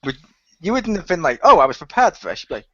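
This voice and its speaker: A man's voice: fairly high pitch, sing-song, fast, bright, forceful tone, dry recording. A man, a cast member of a radio play, joking crudely and laughing in a casual online group chat over muffled audio.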